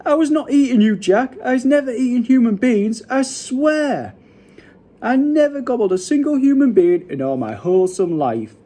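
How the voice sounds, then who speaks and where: Shrieking, high-pitched panicking brute giant. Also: male voice, lively intonation, normal speed. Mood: frantic pleading. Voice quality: loud, shrieking. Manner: broken grammar, wailing pleas. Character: huge man-eating male giant, panicking, screaming and begging.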